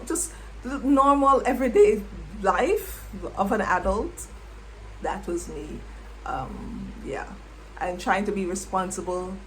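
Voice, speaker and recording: A middle-aged woman, a former English teacher, reflecting on her past in an unhurried way and repeating words as she thinks. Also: fairly low pitch, slight background noise, low-quality recording. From Jamaica. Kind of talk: reflective personal storytelling.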